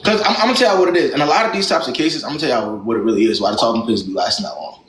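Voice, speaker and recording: A man's voice: mid-range voice, rapid-fire, a bit bright, echoey room, a little hiss or hum, rough recording. A young man hosting a live stream who gives callers blunt, slangy relationship advice in a lecturing way.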